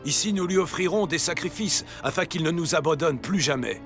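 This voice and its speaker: A devout old patriarch speaks in a fairly high voice with solemn reverence. He sounds fatherly, in the manner of a Bible cartoon, with clear music underneath. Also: fairly quick, a bit bright. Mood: reverent. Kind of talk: dubbed children's Bible cartoon.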